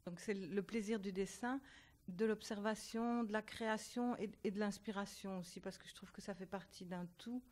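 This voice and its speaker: A woman's voice: medium pitch, fairly even intonation, normal speed, a little mellow. A woman, an engraver who shares drawing with children in hospitals, speaking earnestly and with emphasis at a panel. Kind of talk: self-introduction at a panel.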